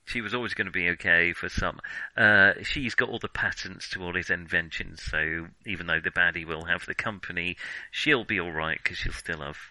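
A man's voice: low voice, normal speed, sharp tone, dry recording, poor audio. A man co-hosting a film podcast, chatting casually through a review in a hesitant, chuckling way as he thinks aloud.

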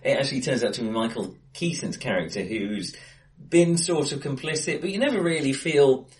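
A man co-hosting a casual film discussion, recorded face to face in an acoustically bright room. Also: medium pitch, normal speed. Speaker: podcast co-host.

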